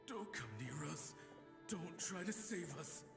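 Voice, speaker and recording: An actor playing the ghost of a fallen soldier in a video game, urgent and declamatory, in cavernous reverb. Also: male voice, a little high, animated, slow pace, gravelly, music in the background, heavily acted. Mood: desperate, pleading. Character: ghost of a fallen male soldier, desperate, valiant. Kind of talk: video game character acting. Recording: echoey long hall reverb.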